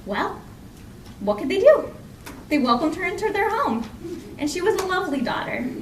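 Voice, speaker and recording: A woman telling a folk tale live in an expressive, conversational way, lingering on repeated phrases. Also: extremely high pitch, moderate pace. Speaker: storyteller. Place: indoor library program before an audience. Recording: echoey room from far off, faint noise.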